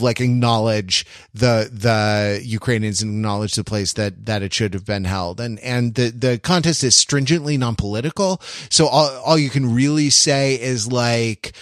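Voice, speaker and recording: Guy cohosting a podcast, medium pitch, rambly and animated, very dry recording. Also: dry recording. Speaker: podcast co-host. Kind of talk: casual panel discussion.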